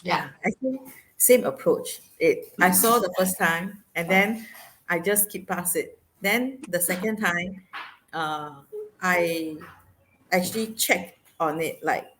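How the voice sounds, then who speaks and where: A woman from Singapore with a Singaporean English accent, hosting a casual interview in an animated way with lots of fillers. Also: on the low side, takes it slowly, a little hiss or hum, poor audio. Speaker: host. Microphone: video call.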